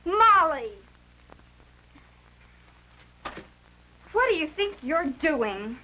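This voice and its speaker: A woman's voice: very high voice, sing-song, unhurried, sharp tone, heavily acted. A woman playing an exasperated, matter-of-fact teenage American big sister in a 1950s educational film. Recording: dull, faintly noisy 1950s film soundtrack.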